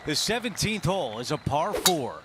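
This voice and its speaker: A man's voice: a little high, sing-song, normal speed, a crowd in the background, noisy background. A man voicing a composed golf broadcast anchor in a video game, calling play as lead commentator. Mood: composed, upbeat.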